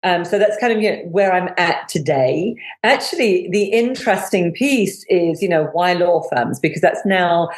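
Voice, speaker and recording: A woman's voice: lowish voice, normal speed, few pauses. A middle-aged woman, a business coach, telling her story as an interview guest with lots of fillers. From United Kingdom. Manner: animated storytelling with many fillers. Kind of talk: conversational podcast interview.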